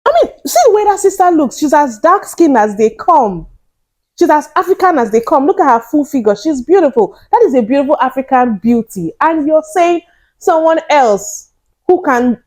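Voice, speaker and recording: Mellow-voiced woman vlogger, animated. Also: sits high. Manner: animated, incredulous, talks straight to viewers. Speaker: reaction vlogger. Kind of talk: casual commentary to camera.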